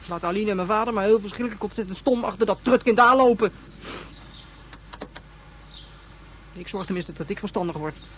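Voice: mid-range voice, fast talker, fairly bright tone, slight background noise, lightly acted. An actor in a radio play playing a petulant, chattering child who speaks emphatically and childishly. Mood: defiant. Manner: childish, emphatic, repeats pet intensifiers. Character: petulant, chattering child, hot-tempered and self-important.

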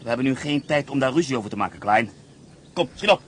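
A man's voice: medium pitch, expressive, quick pace, close to an everyday voice. An actor playing a determined male fugitive leader who gives curt orders in a radio play. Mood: impatient. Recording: loud engine noise behind.

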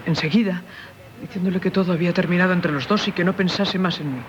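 A woman's voice: lowish voice, quick pace, natural voice. Radio drama, Castilian Spanish: actress as anguished married woman, grave confessional delivery, faint noise on a dull old recording. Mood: haunted, narrating. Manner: solemn, confessional, theatrical delivery. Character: tormented married woman haunted by a former lover. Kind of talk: 1970s radio theatre acting.

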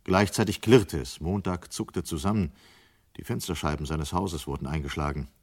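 A man's voice: low-pitched, normal speed, darkish tone. A man as a sober storyteller, narrating a dystopian radio drama from a script. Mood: measured.

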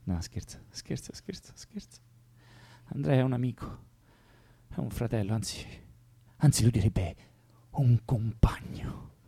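A man's voice: medium pitch, lively intonation, unhurried, warm tone, slightly rough. An actor playing an anguished young leftist man in a naturalistic radio drama, pleading and playful, repeating himself and drifting into whispers. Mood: mocking, bitter. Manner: volatile, repetitive, drifting into whispers.

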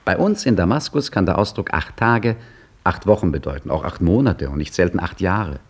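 Hakim, low and husky. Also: male voice, lively intonation, normal speed. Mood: earnest. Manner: earnest, insistent, wry narration. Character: earnest Egyptian man seeking Allah's hundredth name. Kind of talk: 1950s radio drama acting.

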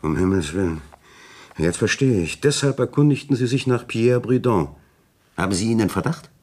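A man's voice: fairly low pitch, expressive, moderate pace, a little mellow. An actor playing a courteous, talkative village notary in a German radio play, polite and formal in his lawyerly wording. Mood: shocked.

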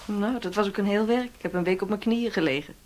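A woman's voice: mid-range voice, fast talker, a little mellow, natural voice. A woman playing a wry, defensive householder with a guarded manner in a naturalistic radio drama. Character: wry, defensive woman receiving police at home. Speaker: actor in a radio play.

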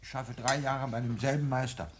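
An actor playing a meek, earnest, sickly young barber, speaking formally and plaintively in a 1960s German radio play. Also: male voice, mid-range voice, steady tone, brisk, natural voice. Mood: wistful. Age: young adult. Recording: old radio recording with faint noise.

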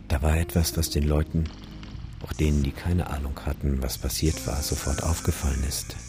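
A man's voice: rumbling low, level tone, normal speed, over music. An actor in a radio drama playing a grave male witness, speaking in a somber, ominous way. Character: grave male witness recalling an eerie dead landscape.

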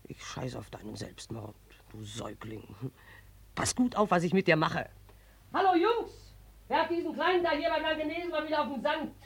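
An actress playing a gruff, bossy old female river spirit in 1940s radio drama, coarse and scornful but motherly. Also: high-pitched, expressive, normal speed, heavily acted. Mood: calling out, bossy. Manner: coarse, commanding, scolding.

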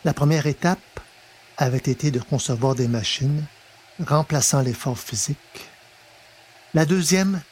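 A man narrating a scripted story in a measured, dramatic manner, with pauses for effect. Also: mid-range voice, darkish tone, a little hiss or hum. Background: music.

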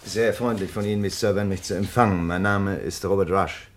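Low male voice, polite crime novelist, measured, deliberate, insistent, radio drama. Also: fairly even intonation, a little hiss or hum. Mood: courteous. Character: courteous, insistent male crime novelist probing a fellow writer. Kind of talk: German radio play acting.

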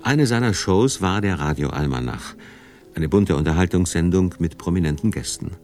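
A man's voice: sits low, animated, moderate pace, a little mellow, soft background music. A man reading scripted narration for a radio documentary.

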